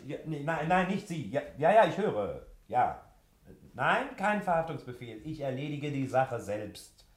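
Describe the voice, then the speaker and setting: An actor playing a brisk, self-assured male private detective in a 1960s German radio play, switching between bossy orders and unctuous soothing. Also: mid-range voice, sing-song, reverberant. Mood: brisk, commanding.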